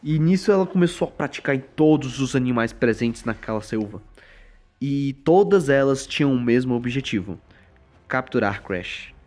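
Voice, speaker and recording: A man's voice: medium pitch, expressive, normal speed, darkish tone. Slangy young Brazilian man reviewing games. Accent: Brazilian Portuguese. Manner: casual and slangy, jokey asides. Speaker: video game YouTuber. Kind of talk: scripted voice-over game review.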